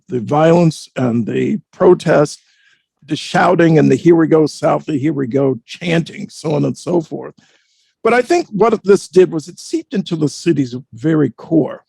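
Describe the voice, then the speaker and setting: A man speaking as a panelist, giving reflective commentary in a deliberate, articulate way with formal wording. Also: on the high side, gravelly, darkish tone, slightly rough, no echo.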